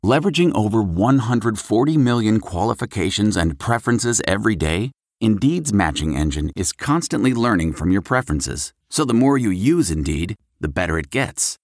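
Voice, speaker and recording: A man's voice: fairly low pitch, big pitch swings, normal speed, no room sound, studio quality. A man reading a scripted advertisement as an announcer. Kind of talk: scripted ad read.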